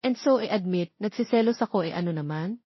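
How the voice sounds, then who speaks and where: A woman's voice: mid-range voice, a little fast, slightly rough, dry recording, muffled recording, natural voice. A woman voicing a confident, flirty, jealous husband in a romance story, blunt and teasing, with a Filipino accent. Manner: blunt, teasing, mixes English and Tagalog.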